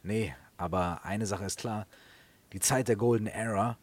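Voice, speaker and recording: Casual man with a slightly husky voice. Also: on the low side, unhurried. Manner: casual, colloquial. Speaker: radio feature co-host. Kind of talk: conversational commentary.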